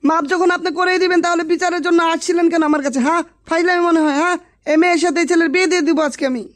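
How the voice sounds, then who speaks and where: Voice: very high-pitched, steady tone, rapid-fire, no echo, clean studio sound. A performer voicing a stern, bossy male village headman, authoritative and threatening, in a comic Bengali drama style. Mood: indignant.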